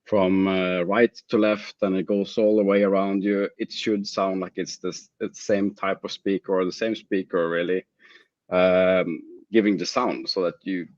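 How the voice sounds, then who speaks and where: Low male voice, halting, slightly dull audio. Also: darkish tone, no echo. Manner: hesitant, halting, measured phrasing. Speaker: product manager, interview guest. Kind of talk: technical interview answers.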